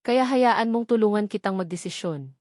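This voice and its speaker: Icy, sharp female aide, voiced by a woman narrator. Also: mid-range voice, expressive, dry recording, studio quality, close to an everyday voice. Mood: cold, menacing. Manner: icy, cutting, deliberate. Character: cold, sharp, emotionless female right-hand aide.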